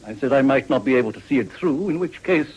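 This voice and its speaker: An actor playing a weary older government minister in a period radio drama, brisk and understated. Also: male voice, medium pitch, lively intonation, a little mellow, husky, muffled recording. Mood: weary, businesslike.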